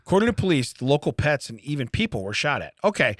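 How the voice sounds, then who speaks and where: A man's voice: medium pitch, sing-song, fast talker, no room sound, clean studio sound. A man co-hosting a comedy podcast, reading out a news story and riffing on it with jokey, wry asides in casual chat. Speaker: podcast co-host.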